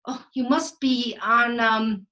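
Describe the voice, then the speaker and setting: A woman playing a vain, playful Renaissance genius in a theatrical comic monologue, with florid delivery, dramatic pauses and sprinkled Italian words. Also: fairly high pitch, steady tone, sharp tone. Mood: playful, courtly. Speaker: stage actor.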